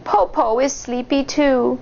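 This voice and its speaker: Woman putting on a coaxing, cunning old wolf granny, pitched up. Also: steady tone, slow, gravelly, darkish tone, lo-fi sound, a little hiss or hum, exaggerated voice. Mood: sweetly sly. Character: cunning old wolf posing as a sweet grandmother.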